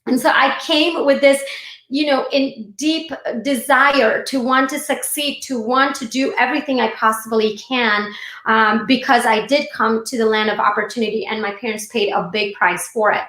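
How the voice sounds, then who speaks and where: A woman's voice: a little high, fairly even intonation, moderate pace, fairly bright tone, reverberant, low-quality recording. A woman from India who moved to the United States, giving an informal motivational talk in a chatty way, speaking directly to livestream viewers. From born and raised in India, moved to the United States at fifteen. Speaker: speaker hosting a live online session.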